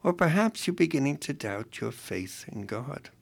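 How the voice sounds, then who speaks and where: A man co-hosts a faith radio program and reads a devotional passage to the listeners. His delivery is measured and earnest, and his voice is gravelly at a middle pitch. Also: sing-song. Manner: measured, earnest reading with a dry humor in banter. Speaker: radio co-host reading a devotional. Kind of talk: reading a devotional text on air.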